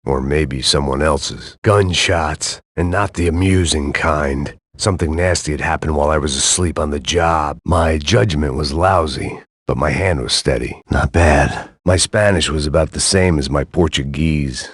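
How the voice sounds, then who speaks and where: A man playing a jaded, middle-aged American action hero, narrating a noir video game in a gravelly, sardonic deadpan. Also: rumbling low, a little slow, hardly any pauses, no echo, clean studio sound, heavily acted. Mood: weary, brooding. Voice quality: gravelly, husky. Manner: world-weary, sardonic, deadpan. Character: jaded hard-drinking middle-aged male action hero, cynical and bitter.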